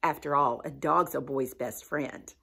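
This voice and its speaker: A woman's voice: extremely low pitch, unhurried, gravelly, pushed and bright, slightly rough, voice pushed down, exaggerated voice. A woman voicing a fussy mother who coaxes her children toward other pets in a storybook read-aloud. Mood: coaxing. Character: fussy mother steering her kids away.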